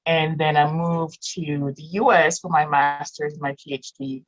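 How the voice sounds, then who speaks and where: A woman's voice: sits low, flat delivery, a little slow, highs cut off. A woman in her thirties, raised in Morocco with French as her first language, chatting as a guest, laughing often and restarting sentences. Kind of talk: casual interview chat.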